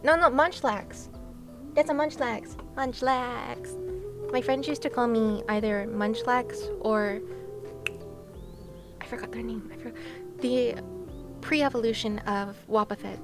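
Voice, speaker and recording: A woman's voice: fairly high pitch, slow pace, pauses often, over music. A woman livestreaming and chatting casually with her viewers, giggly and playful, with gushing exclamations and sing-song asides. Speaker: live streamer.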